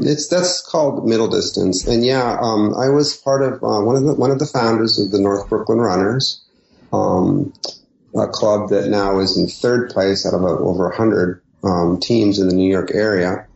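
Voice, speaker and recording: A man's voice: on the low side, gravelly, darkish tone. A man in his fifties from San Diego, a native Spanish speaker, talking as a poet guest in a casual interview, hesitant with many ums and restarts. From San Diego, California. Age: 50s. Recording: echoey lo-fi remote line.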